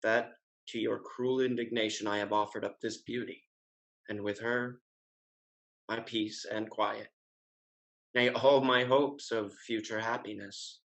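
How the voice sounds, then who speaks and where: Suave, florid gentleman rake played by a man. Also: lowish voice, steady tone, low-quality recording. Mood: mournful. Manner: florid, pleading, measured. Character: suave, flattering gentleman rake playing the penitent lover. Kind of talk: scripted stage comedy acting.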